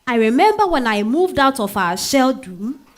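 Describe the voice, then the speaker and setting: A woman's voice: sits high, moderate pace. A Ghanaian woman playing a spirited married woman telling her love story in a dramatized stage reading, with conversational interjections. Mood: nostalgic, intense. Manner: expressive, conversational interjections like eh and hmm. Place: live literary festival stage reading.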